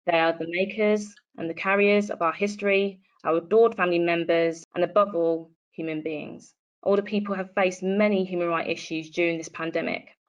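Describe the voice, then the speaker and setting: A woman delivers an earnest, measured statement. Her voice sits somewhat low and steady. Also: poor audio. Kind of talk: scripted statement.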